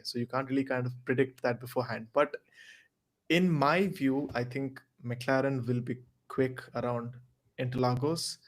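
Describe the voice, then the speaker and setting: A man offers casual analysis as a podcast panelist. His voice sits at a medium pitch, and he reasons earnestly, sometimes repeating a phrase as he works through a point.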